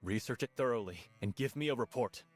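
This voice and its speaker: An actor plays a sinister overseer, a man of middling pitch who gives orders in a game cutscene. He is cold, clipped and authoritative, with faint music behind him. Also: fast, natural voice. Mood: coldly commanding. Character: cold, commanding male overseer of sinister experiments. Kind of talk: video game cutscene acting.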